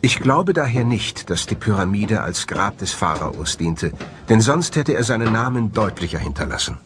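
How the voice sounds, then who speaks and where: Low, expressive male documentary narrator. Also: moderate pace, slight background noise. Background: background music.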